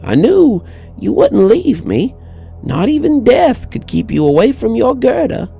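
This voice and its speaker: Man voicing a high-pitched, bitter old woman. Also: huge pitch swings, a little slow, creaky, warm tone, husky, soft background music, heavily acted. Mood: eerily tender. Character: frail, bitter old widow confessing to murder. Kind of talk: acted horror story dialogue.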